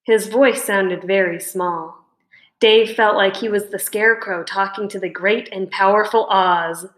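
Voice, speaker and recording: A woman narrates a children's story in the style of a teacher reading to a class. Her pacing is slow, with expressive, dramatic emphasis on key words. The room adds an audible echo. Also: mid-range voice.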